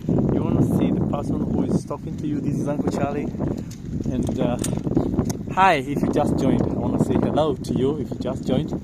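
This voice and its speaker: A man from Kenya with a Kenyan accent, talking casually as a vlogger in a halting, repetitive way. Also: mid-range voice, fairly even intonation, heavy background noise. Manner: halting, repeats phrases. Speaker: vlogger, host. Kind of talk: casual outdoor vlog talk. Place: outdoors on a bicycle along village paths. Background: loud outdoor ambience.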